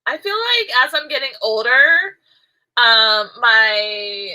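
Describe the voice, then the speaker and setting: A woman's voice: a little high, slow, pushed and bright, poor audio. A woman chatting casually while she crochets, rambling and giggling, her nose stuffy and nasal from allergies. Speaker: crochet vlogger.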